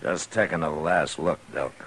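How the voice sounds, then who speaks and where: A man's voice: a bass voice, fairly even intonation, normal speed, a bit bright. A man playing a stern frontier marshal in an old-time radio western, on a hissy old recording. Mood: stern, cold.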